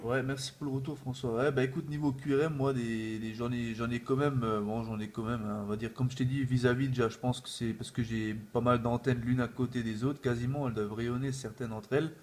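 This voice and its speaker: A man's voice: mid-range voice, fairly even intonation, brisk, hardly any pauses, a little mellow. A man chatting informally in a casual radio contact, with repeated filler tags. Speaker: amateur CB radio operator. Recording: faint background noise.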